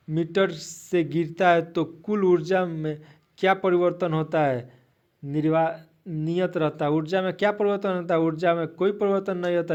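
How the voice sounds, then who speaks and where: A man teaching an exam-prep quiz, reading out questions haltingly and repeating the answers to his listeners. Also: fairly high pitch, darkish tone, muffled recording. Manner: repetitive, asks then restates answers, addresses listeners as friends.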